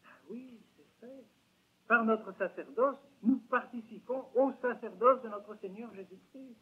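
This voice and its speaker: A man's voice: very high voice, measured pace. An older man giving an emphatic religious lecture, with rhetorical questions and repeated words. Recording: tinny lo-fi 1970s lecture recording.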